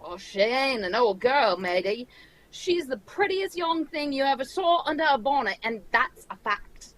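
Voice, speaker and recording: Woman doing a high-voiced, chummy Cockney barkeep. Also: animated, a little slow, fairly bright tone, poor audio. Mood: gossipy. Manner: folksy, gossipy, chummy. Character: chatty, friendly male Cockney tavern keeper, former carriage driver. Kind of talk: old-time radio drama script read live.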